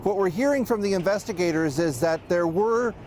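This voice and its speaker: A man giving an unscripted live news report as a correspondent, halting and often restarting his phrases. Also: high-pitched, animated, a little mellow, slight background noise. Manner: halting, hesitant, frequent ums and restarts.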